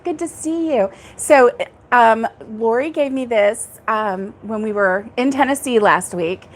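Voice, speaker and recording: A woman's voice: on the high side, unhurried. A woman hosting a casual crochet livestream from a back porch, chatting with a friend and greeting viewers by name, laughing often. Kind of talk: casual live chat with viewers. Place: back porch, indoors, air conditioned.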